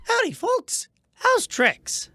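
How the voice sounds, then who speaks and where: Shady talking rat for radio comedy: very high, wildly swooping, cocksure and wheedling. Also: male voice, huge pitch swings, takes it slowly, noisy background, heavily acted. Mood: breezy, sly. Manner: folksy, wheedling, insistent. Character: shady, chatty rat con artist, cocksure schemer.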